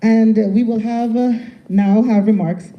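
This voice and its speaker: A woman's voice: on the high side, steady tone, unhurried. Female emcee making formal introductions at a live event, dull recording. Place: public event.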